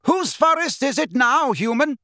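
A man's voice: very high-pitched, big pitch swings, a little slow, bright, forceful tone, no echo, studio quality, voice pushed up, heavily acted. A man voicing wild, aggressive male centaurs in a storybook, bellowing and confrontational. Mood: furious, threatening. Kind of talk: audiobook character voice.

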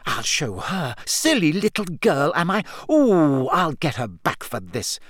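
A man voicing a clever, bossy schoolgirl with sharp, defiant speech in an audiobook. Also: mid-range voice, very animated, takes it slowly, no echo, clean studio sound, exaggerated voice. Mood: furious. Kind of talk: audiobook character voice.

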